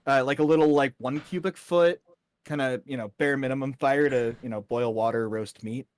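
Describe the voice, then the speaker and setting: A man acting as game master, narrating a tabletop session in a casual, halting, conversational way. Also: mid-range voice, fairly even intonation, clear, resonant tone, no room sound, clean studio sound.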